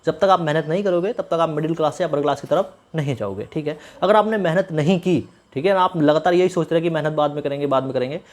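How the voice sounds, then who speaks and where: A man talks at a quick clip, like a YouTuber briefing exam candidates. He explains things informally and gives instructive advice, often restating a phrase to drive it home. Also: a little high, darkish tone. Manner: instructive, repeats phrases for emphasis.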